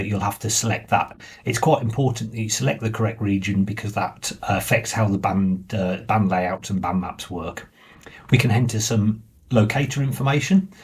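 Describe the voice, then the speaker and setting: A man giving a plain, informal step-by-step walkthrough as the presenter of a software tutorial. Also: fairly low pitch, slightly rough. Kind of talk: step-by-step screen walkthrough narration.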